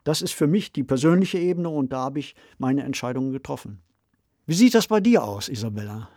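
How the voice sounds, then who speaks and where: A middle-aged or older man co-hosting a podcast, talking in a measured, deliberate and articulate way. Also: medium pitch, animated, mellow, no room sound, clean studio sound. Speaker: podcast co-host. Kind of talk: conversational podcast discussion.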